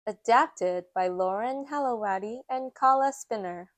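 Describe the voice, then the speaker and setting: Slow-paced woman reading a storybook aloud. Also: fairly high pitch, no echo. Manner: measured, storytelling delivery. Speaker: storytime reader. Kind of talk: children's picture book read-aloud.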